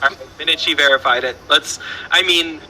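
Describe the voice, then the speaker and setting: A Southern man hosting a relaxed trivia show, his voice sitting fairly high and ringing very bright. He rambles playfully, stumbling over fillers and restarting his sentences. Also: brisk, a little hiss or hum, rough recording. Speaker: trivia host. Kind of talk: casual online trivia show.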